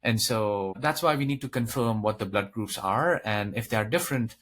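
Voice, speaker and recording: A man's voice: fairly low pitch, expressive, normal speed, fairly bright tone. A man speaking as a physician guest in an interview, explaining medical matters in plain lay terms. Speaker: expert guest, transplant physician.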